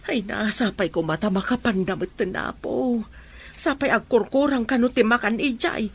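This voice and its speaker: A woman's voice: fairly high pitch, moderate pace, husky. A woman with an Ilocano Filipino accent playing a worried older mother in an audio drama, fretting plaintively. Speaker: drama voice actor.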